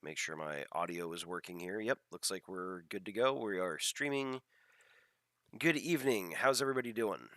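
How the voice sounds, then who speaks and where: A man's voice: sits low, normal speed, fairly bright tone, no echo. A man hosting a live game stream, chatting casually and self-deprecatingly to viewers through a headset, congested from a head cold.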